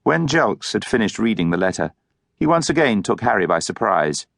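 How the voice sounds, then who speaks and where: Low-voiced man narrating a novel. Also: very animated, moderate pace, creaky, a bit bright, no room sound, studio quality. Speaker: audiobook narrator. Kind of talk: scripted literary narration.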